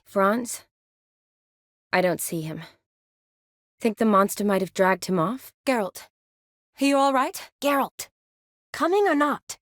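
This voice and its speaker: An actress playing a young woman army medic in a video game, caring, playful and briskly practical, with a natural, teasing delivery. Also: mid-range voice, no echo, clean studio sound, natural voice. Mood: worried, urgent. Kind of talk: video game character dialogue.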